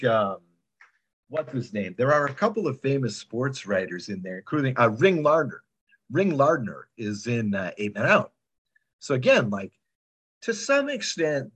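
A man's voice: mid-range voice, slightly rough. A man talking casually as a producer in an interview, digressive and emphatic, often tagging points as questions. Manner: digressive, emphatic, checks in with "right?". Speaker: podcast drama producer.

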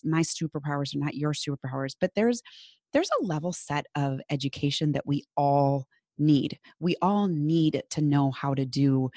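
A woman's voice: sits low, a little mellow, no echo. Chatty Minnesotan woman, dry close mic. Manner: chatty, many fillers and restarts, laughs easily. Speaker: podcast guest, business coach. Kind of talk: casual interview chat.

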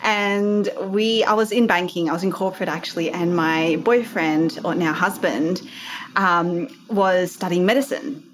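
A woman's voice: fairly low pitch, a little slow, poor audio. A woman in her thirties with an Australian accent, a guest telling her business story in a bubbly, chatty way with self-deprecating laughs. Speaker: entrepreneur guest. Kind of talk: casual interview storytelling.

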